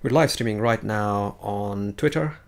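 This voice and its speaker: Gravelly low-voiced man hosting a live chat. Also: measured pace. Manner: hesitant, many fillers and restarts. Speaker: livestream host.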